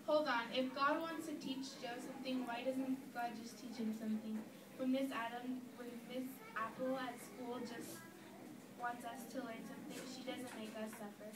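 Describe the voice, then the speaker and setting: A woman's voice: fairly high pitch, flat delivery, normal speed, natural voice. A woman voicing an eager, muddled kid puppet. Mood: musing. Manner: blurts out guesses, questioning. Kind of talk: church puppet skit. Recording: echoey room from far off, loud noise.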